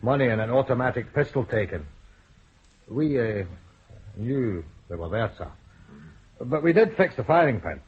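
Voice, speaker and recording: An actor playing a plain-spoken police sergeant who grumbles. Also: male voice, medium pitch, expressive, normal speed, pauses often, breathy edge, highs cut off. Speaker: police officer.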